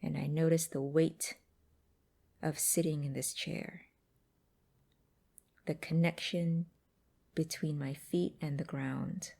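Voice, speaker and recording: A woman guiding a mindfulness exercise in a gentle, unhurried, instructive way with pauses between phrases. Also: low-pitched, steady tone, gravelly. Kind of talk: guided meditation narration.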